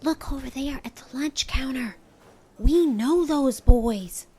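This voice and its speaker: A woman's voice: high-pitched, slow, dark tone, breathy edge, pitched above the performer's own voice, full character voice. A woman voicing an eager, curious little girl in a storybook. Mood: surprised. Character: eager, curious little girl narrator Connie, questioning and promising. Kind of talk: storybook character dialogue.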